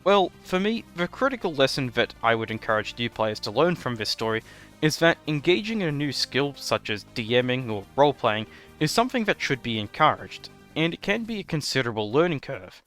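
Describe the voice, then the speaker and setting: A man with a bright, crisp-edged voice at a middling pitch presents scripted commentary. His phrasing is formal and wordy, like a written essay read to viewers. Faint music plays behind him. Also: very animated, brisk. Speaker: host of a tabletop roleplaying commentary show. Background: background music.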